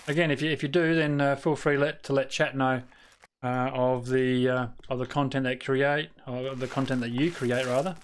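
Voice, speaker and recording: A man's voice: medium pitch, little pitch movement, smooth. Rambling Australian man streaming a game. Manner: rambling and halting, many fillers and restarts, mutters at the game. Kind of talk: casual live-stream chat while playing.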